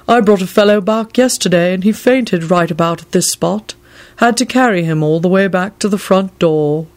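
A woman's voice: medium pitch, unhurried, mellow, breathy edge, pitched above the performer's own voice. A woman voicing a bald, bearded male castle servant in an audiobook, fussy and grave, with a grumbling, familiar manner. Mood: grumbling, indignant. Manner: grave, scolding and conspiratorial.

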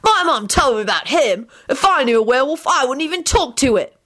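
A woman's voice: mid-range voice, very animated, normal speed, pushed and bright, breathy edge, voice pushed up, exaggerated voice. A woman voicing a mean, cowardly young bully in a storybook, mocking and nasty. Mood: scathing.

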